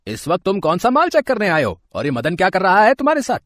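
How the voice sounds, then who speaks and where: A man voicing a stern, suspicious shop owner in an animated moral story, commanding and quick to scold. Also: high-pitched, no room sound, clean studio sound, full character voice. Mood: indignant.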